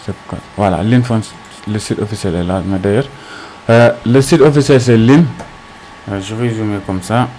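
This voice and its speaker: A man teaching an unscripted tech tutorial step by step, haltingly, often asking questions and answering them himself. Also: fairly low pitch, warm tone, a little hiss or hum.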